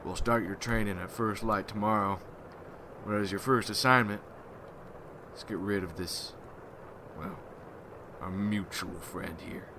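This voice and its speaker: A man acts out a vengeful vampire hunter in an ASMR roleplay, with a very low voice and a slow, measured delivery. He is grim and solemn, brooding over every phrase. Loud ambient noise runs behind him. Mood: businesslike, grim. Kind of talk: ASMR roleplay, dramatic monologue.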